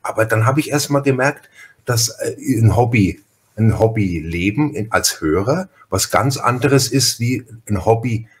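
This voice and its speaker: Male podcast host, Franconian accent, lowish pitch, casual chat, rambling delivery with repeated phrases. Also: animated, measured pace. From Franconia, Germany. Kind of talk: casual conversational interview.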